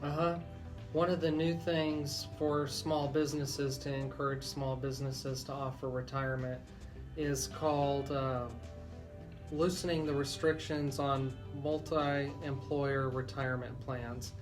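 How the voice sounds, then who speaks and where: A man speaking as a legal expert on a radio talk show, explaining things deliberately with frequent pauses. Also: medium pitch, level tone, music in the background.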